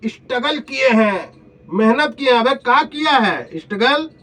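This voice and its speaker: A man's voice: very high-pitched, sing-song, normal speed, pushed and bright. A man with an eastern Indian Hindi accent delivering a taunting, confrontational rant full of insults and pointed rhetorical questions, recorded at a distance from the mic. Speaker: vlogger.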